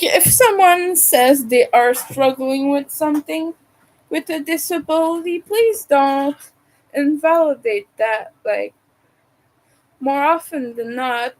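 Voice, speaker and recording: Slow, halting high-voiced woman. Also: low-quality recording. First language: not English. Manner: hesitant, halting, restarts words. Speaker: crochet vlogger. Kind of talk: casual chat while crocheting.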